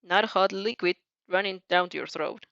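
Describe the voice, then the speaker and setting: A woman's voice: medium pitch, normal speed, a bit bright, dry recording. A woman narrating a second-person fan fiction story aloud, informally, stumbling and correcting herself, on a slightly dull home recording.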